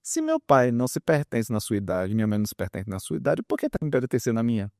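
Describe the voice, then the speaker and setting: Lively man in his fifties. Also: medium pitch, fast talker, vocal fry, dry recording, studio quality. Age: 50s. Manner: opinionated, acts out quoted remarks, repeats words for emphasis. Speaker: guest psychologist and author. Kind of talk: interview talk, explaining with examples.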